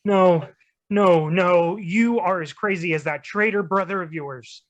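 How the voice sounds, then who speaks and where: A woman's voice: lowish voice, measured pace, dull audio, close to an everyday voice. A woman playing a frightened, pleading young henchman in a staged play reading. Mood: panicked.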